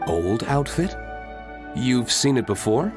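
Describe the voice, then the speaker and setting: A man's voice: mid-range voice, very animated, slow, music in the background. A man playing a cool, aloof, confident young nobleman swordsman in a video game, speaking in a composed, understated way. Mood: cool, questioning. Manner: composed, understated, self-assured. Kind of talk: dramatic video game voice acting.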